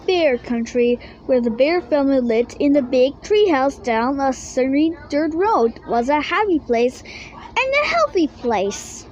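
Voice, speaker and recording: A woman with a high-pitched voice reads a children's picture book aloud at a slow pace. The reading is halting, with stumbles and misread words. There is faint noise in the recording. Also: very animated, darkish tone, muffled recording. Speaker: storybook reader.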